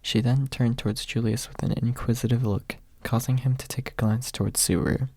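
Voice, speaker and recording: A man narrates a story in a soft ASMR manner. His voice is gravelly and husky, sitting at a medium pitch. The sound is close and dry, with very little room in it. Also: steady tone, brisk, dry recording. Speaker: audiobook narrator.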